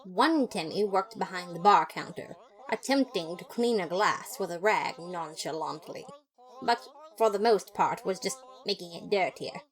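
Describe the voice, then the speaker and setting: A woman reading scripted first-person fiction in a dramatic, expressive narrator's manner. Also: fairly low pitch, a little slow, rough recording. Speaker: podfic narrator.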